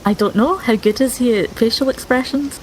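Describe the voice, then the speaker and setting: A woman's voice: fairly high pitch, brisk, slight background noise, rough recording. A woman playing a tabletop roleplaying game casually, speaking in brief, understated turns.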